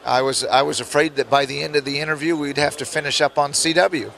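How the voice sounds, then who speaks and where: A man with a rough throat, explaining things casually as an interview guest. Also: on the high side, steady tone, fast talker, fairly bright tone, a little hiss or hum. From Nebraska, United States. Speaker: interview guest, electronics kit hobbyist.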